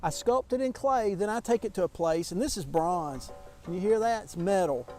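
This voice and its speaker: A man's voice: high voice, lively intonation, moderate pace, darkish tone, faint music behind. A sculptor talks children through his outdoor sculptures in a folksy, chatty way, full of restarts and fillers. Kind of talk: outdoor walking tour talk to children.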